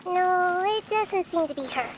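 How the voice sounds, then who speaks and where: A woman voicing a wise, perceptive young goddess in child form for a video game, speaking in a calm, measured way. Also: very high-pitched, background noise. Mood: curious.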